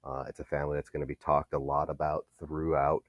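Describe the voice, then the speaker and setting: A man's voice: very deep voice, monotone, brisk, no echo. A male history teacher giving a recorded lecture, explaining steadily with some fillers.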